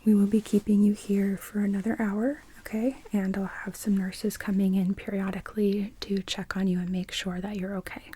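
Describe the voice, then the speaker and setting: A woman whispering breathily in a gentle, reassuring way, playing a doctor who explains care in an ASMR medical roleplay. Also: mid-range voice, flat delivery, moderate pace, hardly any pauses, slight background noise. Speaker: ASMR performer playing an emergency room doctor.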